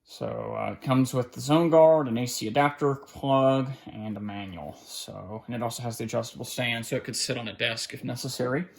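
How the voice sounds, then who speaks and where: Gravelly-voiced man casually narrating an unboxing. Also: mid-range voice. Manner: halting, informal, thinking aloud as he handles things. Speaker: hobbyist collector presenting an unboxing. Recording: faint background noise, clicks of handling.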